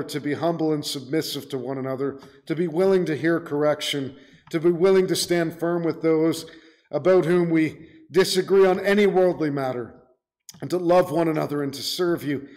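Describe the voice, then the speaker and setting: High-pitched middle-aged male preacher. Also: darkish tone. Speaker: pastor preaching a sermon. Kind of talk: sermon and closing prayer. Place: small church room, indoors.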